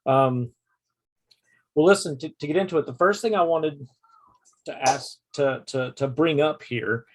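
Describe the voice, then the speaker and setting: Chatty host who checks in often. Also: male voice, on the high side. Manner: conversational, repeats "okay?" to check in, halting restarts. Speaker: podcast host. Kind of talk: casual conversation.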